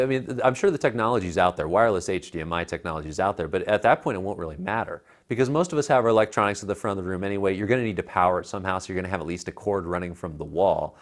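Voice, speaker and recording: An American man in his thirties co-hosting a tech show, explaining gear in a casual, conversational way. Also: low voice. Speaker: tech show co-host reviewing home theater gear. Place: living room at home, indoors. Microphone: lapel mic.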